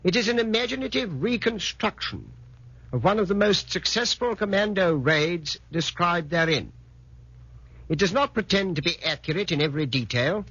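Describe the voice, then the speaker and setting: A man gives a formal, precise radio introduction in 1940s style. His voice is high, sharp and husky, on a dull old recording. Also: lively intonation, normal speed, dry recording. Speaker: author giving an introduction.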